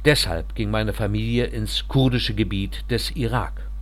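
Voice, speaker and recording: A man reading the part of a reserved thirty-one-year-old refugee engineer, telling his story quietly and earnestly. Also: lowish voice, measured pace, lightly acted.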